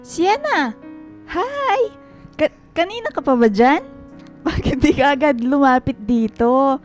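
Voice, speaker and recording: An actress playing a bubbly, teasing young aunt in a radio drama, playfully mixing in English. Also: female voice, high voice, animated, slow, faint music behind.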